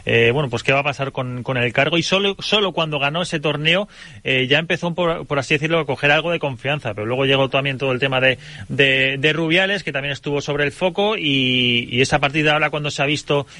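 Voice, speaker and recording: Halting bright-voiced man on radio panel. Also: fairly high pitch, no room sound. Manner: halting, many fillers and restarts. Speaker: sports journalist panelist.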